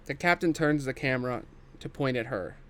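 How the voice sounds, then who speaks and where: A man's voice: medium pitch, brisk, smooth. A man narrating scripted stage directions at a table read.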